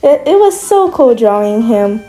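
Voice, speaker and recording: Rambling young Brazilian woman, mellow tone. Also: fairly high pitch, very animated, unhurried, soft background music. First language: Portuguese. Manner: rambling, hesitant, many restarts. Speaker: video creator. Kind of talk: casual monologue.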